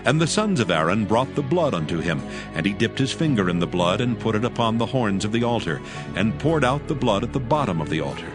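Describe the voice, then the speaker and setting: A man narrating scripture in a steady, reverent, measured way for a dramatized audio Bible. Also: fairly low pitch. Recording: narration over clear music. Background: music.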